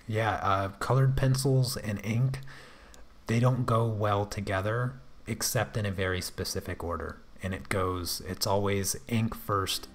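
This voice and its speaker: A soft-spoken man chatting casually on a drawing livestream, hesitant, with frequent restarts and fillers. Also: deep voice. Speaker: artist livestreaming while drawing. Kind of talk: casual livestream chat answering viewers.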